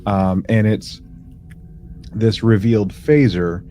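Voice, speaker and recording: A man co-hosting a podcast, casually recapping in a halting way, as if thinking aloud. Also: on the low side, warm tone, faint music behind. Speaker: podcast co-host. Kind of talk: casual chat recapping a sci-fi audio drama.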